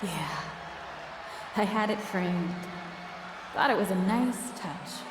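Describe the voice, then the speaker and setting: A woman's voice: medium pitch, slow pace. A woman playing a bitter, jealous wrestling promoter in a video game, delivering a sarcastic, menacing speech over an arena PA. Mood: smug, menacing. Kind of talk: theatrical in-ring promo speech. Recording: echoey arena PA with crowd noise.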